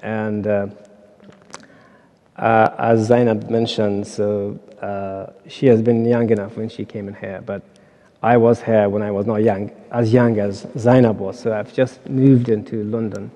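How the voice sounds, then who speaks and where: A man's voice: on the low side, flat delivery, measured pace, mellow. A man speaking as a panelist, opening hesitantly with frequent fillers. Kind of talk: panel talk. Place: large church hall. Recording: long hall reverb.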